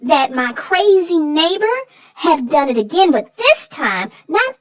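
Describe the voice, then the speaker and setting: Woman putting on a high-pitched, sassy, feisty lady. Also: sing-song. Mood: indignant, scheming. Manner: folksy, emphatic, drawn-out storytelling. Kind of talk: scripted chatty banter about a children's book.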